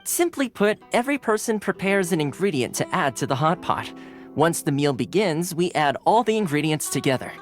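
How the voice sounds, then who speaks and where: A man voicing an easygoing, cheerful young male retainer in a video game, breezy and playfully expressive. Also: on the high side, normal speed. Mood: playfully enthusiastic. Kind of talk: animated video game voice acting. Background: background music.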